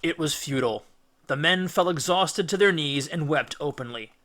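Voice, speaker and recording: Forceful male storybook narrator. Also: a little high, moderate pace. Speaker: audiobook reader. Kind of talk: dramatic scripted storytelling narration.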